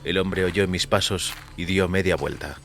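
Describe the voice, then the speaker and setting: A man reading scripted first-person fiction as a narrator, in a measured, literary, sombre manner. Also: low voice, steady tone, fairly bright tone. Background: faint music.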